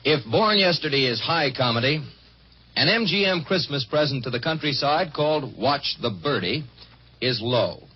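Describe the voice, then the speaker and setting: A man's voice: a little high, lively intonation, normal speed, husky. A man reading a scripted film review for 1950s radio in a dry, wry way. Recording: muffled 1950s radio recording.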